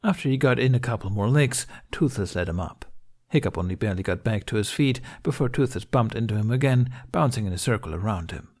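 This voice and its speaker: A man reading scripted fiction aloud as an audiobook narrator, in a measured storytelling manner. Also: fairly low pitch, lively intonation, a little mellow.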